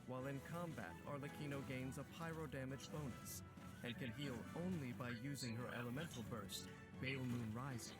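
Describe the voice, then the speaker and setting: A man's voice: medium pitch, a little fast. Formal male narrator over prominent music. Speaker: trailer narrator. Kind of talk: scripted game trailer narration. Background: music behind the voice.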